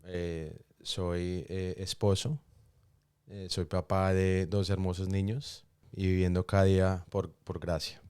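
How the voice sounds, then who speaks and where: A man giving a personal testimony in conversation, speaking reflectively with halting pauses. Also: deep voice, level tone, a little mellow, smooth, studio quality. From Colombia, raised in New York from age four. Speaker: podcast guest. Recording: roomy echo, faint music behind.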